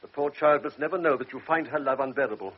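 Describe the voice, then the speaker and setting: An actor playing a blunt, principled doctor in a vintage radio drama, grave and reproachful. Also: male voice, medium pitch, fast, husky, dull audio, lightly acted. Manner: grave, then sharply reproachful. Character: stern older male doctor, blunt and principled.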